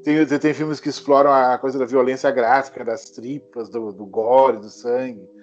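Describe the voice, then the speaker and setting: A man speaking as the invited expert, explaining in a halting way and often repeating words as he searches for them. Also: mid-range voice, fairly even intonation, lo-fi sound, soft background music. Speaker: film genre expert guest. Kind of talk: casual explanatory chat.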